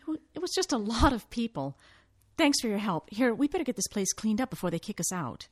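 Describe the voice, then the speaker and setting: A woman's voice: on the high side, big pitch swings, a little fast, a little mellow. An actress playing a picky but easygoing woman helping to run amateur auditions, in naturalistic drama dialogue. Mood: easygoing, tired.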